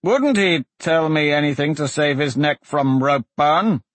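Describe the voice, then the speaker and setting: Male narrator as older magistrate, fantasy storybook, stern, dry wit, formal, measured, husky, close dry sound. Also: fairly high pitch, no room sound, pitched above the performer's own voice. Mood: dry, probing. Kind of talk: fantasy audiobook character dialogue.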